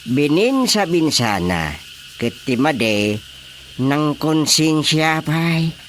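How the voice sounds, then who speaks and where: A man acting an excitable village chairman in a radio drama comedy, exclaiming as he deals with residents. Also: on the high side, extremely slow, slight background noise, heavily acted. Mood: defensive. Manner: exclamatory, animated.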